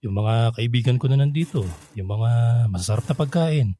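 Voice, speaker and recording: A man's voice: medium pitch, expressive, brisk, warm tone, dry recording, lightly acted. A Filipino man playing a nostalgic, bashful middle-aged bachelor back home from abroad in a radio drama. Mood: friendly, nostalgic. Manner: easygoing, chuckling, sheepish.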